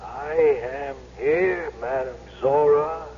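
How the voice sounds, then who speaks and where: An actor playing a sly con artist who poses as a spirit in a 1970s radio drama, with a deliberate, drawn-out delivery. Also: male voice, fairly high pitch, a crowd in the background. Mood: solemn, ghostly. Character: sly male con-artist sidekick posing as dead husband's spirit. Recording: muffled, reverberant old radio broadcast.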